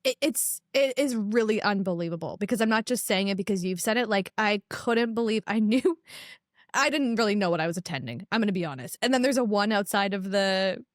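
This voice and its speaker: A woman's voice: medium pitch, expressive, brisk, dry recording, studio quality. Gushing woman podcast host. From Canada. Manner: gushing, enthusiastic, chatty. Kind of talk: casual podcast interview.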